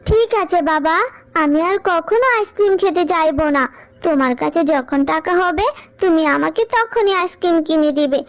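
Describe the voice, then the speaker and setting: Very high-pitched little cartoon girl. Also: lively intonation, normal speed. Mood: plaintive. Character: sweet pleading little girl animal in a fable cartoon. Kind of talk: children's cartoon voice acting.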